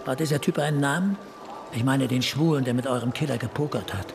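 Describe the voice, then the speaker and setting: An actor playing a brash, foul-mouthed, cynical police detective in a radio crime drama, sardonic and coarse. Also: male voice, medium pitch, quick pace, gravelly, background noise, lightly acted.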